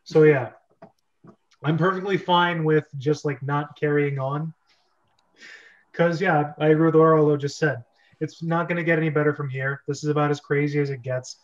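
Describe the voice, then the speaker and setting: A man's voice: on the high side, fairly even intonation, normal speed. A man hosting a script reading club, chatting casually with the group. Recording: dull, lo-fi online recording.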